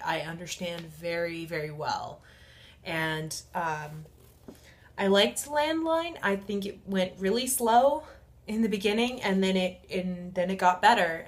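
A woman in her twenties chatting casually to camera in a halting, informal, self-correcting way, as a book vlogger. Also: on the low side. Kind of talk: casual vlog chat. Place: small room at home.

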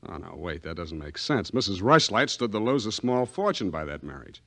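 An actor playing a cool, wisecracking private detective in a 1940s radio drama, delivering dry, sardonic, clipped lines. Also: male voice, sits low, sing-song, brisk, fairly bright tone, dry recording, full character voice. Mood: probing, dry.

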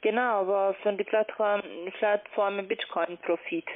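A woman's voice: medium pitch, steady tone, a little slow. Woman call centre agent, tinny phone line. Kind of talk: telephone sales pitch.

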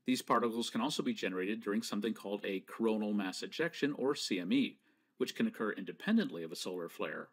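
Male narrator for a technical lesson: measured and precise, with a pitch that rises and falls a lot. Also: animated. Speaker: presenter. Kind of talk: scripted slideshow lecture.

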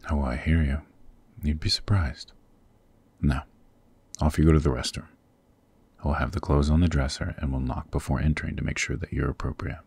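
A man voicing a possessive, protective demon king in a husky, very deep voice, formal and intimate, in an ASMR roleplay. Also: fairly even intonation, fairly quick, darkish tone, full character voice. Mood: commanding, caring. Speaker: voice actor.

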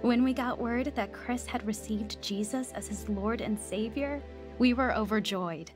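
An actress playing an earnest, devout young woman who tells her story with measured care in a radio drama. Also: a little high, music in the background, close to an everyday voice. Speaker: lead actress and first-person narrator. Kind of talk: scripted radio drama narration.

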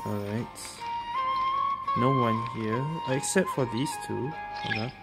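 A man's voice: medium pitch, takes it slowly, vocal fry, poor audio. A man giving casual gameplay commentary, thinking aloud in short fragmented remarks. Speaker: gaming streamer. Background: game music.

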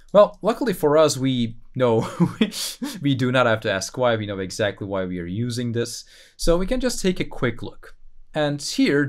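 Man, low voice, tutorial host, casual, conversational, thinking aloud, playful asides.

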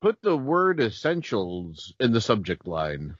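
A man hosts a podcast casually and jokingly, his pitch swinging in a lively way. The recording is close and dry, with a slightly muffled lo-fi tone. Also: measured pace, breathy edge, no room sound. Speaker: podcast co-host. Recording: dull lo-fi sound.

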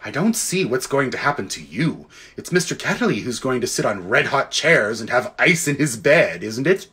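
A man voicing a practical, sharp-tongued young girl in a storybook, with a prim manner. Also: mid-range voice, sing-song, moderate pace. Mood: dismissive. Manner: prim, sarcastic.

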